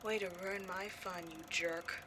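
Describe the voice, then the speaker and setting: A woman's voice: medium pitch, fairly even intonation, a little slow, noisy background, lightly acted. A woman playing a petty, theatrical ghost from an old horror film in a scripted comedic audio roleplay, mock-sinister and easily exasperated. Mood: bitter, low.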